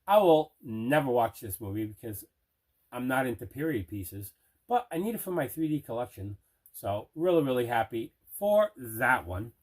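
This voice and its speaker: A middle-aged man casually showing items in an unboxing, deliberate and repeating himself. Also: medium pitch, darkish tone. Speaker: host of a movie collecting show. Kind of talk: casual unboxing show-and-tell.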